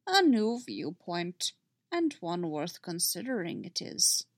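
A woman voicing an ancient, wise alien sage who speaks slowly with inverted word order. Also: on the low side, very animated, dry recording, heavily acted. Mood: wise, patient. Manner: inverted word order, slow measured speech.

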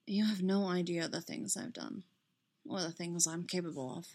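Female reader as reserved male mentor figure in story narration, soft-spoken, dry, formal and understated. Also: on the low side, fairly even intonation. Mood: grim. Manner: quiet, measured, formal, understated. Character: restrained, dry, self-reproaching man, quiet loyal friend.

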